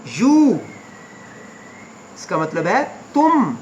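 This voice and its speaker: Man teaching words slowly, echoey room. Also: high-pitched, sing-song, lo-fi sound, a little hiss or hum. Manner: slow, repetitive word-by-word explanation. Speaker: English teacher. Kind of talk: spoken English lesson for beginners.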